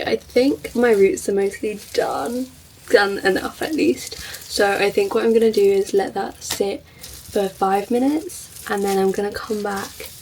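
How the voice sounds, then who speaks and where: A young woman from the UK chatting casually to camera as a vlogger, her voice a little croaky from being ill, self-deprecating and thinking aloud as she works. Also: mid-range voice, darkish tone, far from the mic, slight background noise, low-quality recording. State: slightly croaky from illness. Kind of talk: casual vlog talk to camera. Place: home, in front of a mirror.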